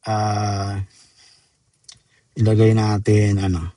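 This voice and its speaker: A Filipino man presenting a software tutorial step by step in an informal, chatty way, mixing in English terms. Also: lowish voice, flat delivery, drawn-out words, no echo. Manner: informal, addresses viewers as guys, code-switches into English terms. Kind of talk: casual screen-demo tutorial, step-by-step.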